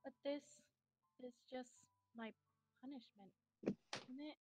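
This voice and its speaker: A fragile, guilt-ridden teenage girl from an anime visual novel, played by a woman. Her voice is fairly high and her delivery halting and emotional, with faint music underneath. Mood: sad, guilty. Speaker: amateur reader of a visual novel character. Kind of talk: dramatic visual novel reading.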